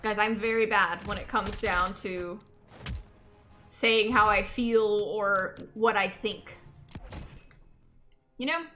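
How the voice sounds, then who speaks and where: A young adult woman streaming a game and chatting with viewers, slightly hoarse, talking in a loose, rambling way full of "like" and repeated thank-yous. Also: medium pitch, fairly even intonation, takes it slowly, long pauses, bright, forceful tone, a little hiss or hum. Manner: chatty, many filler likes, repeats thanks. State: a bit hoarse, sore throat. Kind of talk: casual chat while gaming.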